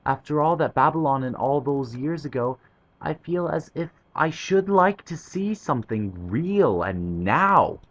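A man voicing a cheerful, sensible young boy in an audiobook, with brisk, hearty talk. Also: a little high, expressive, pitched above the performer's own voice. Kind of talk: audiobook character voice.

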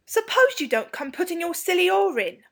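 Woman as a high-pitched, blunt British schoolboy. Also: fairly quick, natural voice. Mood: snappy. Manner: snappy, blunt. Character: grumpy, blunt, hot-tempered English schoolboy.

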